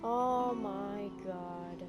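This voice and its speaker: A woman's voice: medium pitch, very slow. A woman casually commenting as she plays a video game, answering the dialogue aloud. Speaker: game streamer. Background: game music.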